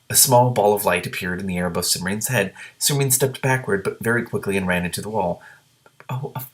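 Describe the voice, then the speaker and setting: A man reading a book aloud and breaking in with excitable, sometimes profane reactions. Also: mid-range voice. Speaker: reader and commentator.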